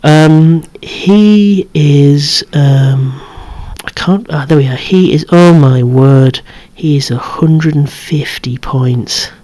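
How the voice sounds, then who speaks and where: A person in a soft, breathy whisper, rambling hesitantly and correcting themselves while showing off a collection in ASMR style. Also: medium pitch, slow. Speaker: collector presenting a vintage toy collection. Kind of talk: whispered ASMR show-and-tell.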